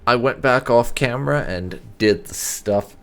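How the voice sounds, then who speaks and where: A man's voice: medium pitch, unhurried, creaky, fairly bright tone. A man hosting a gaming channel, giving casual let's play commentary.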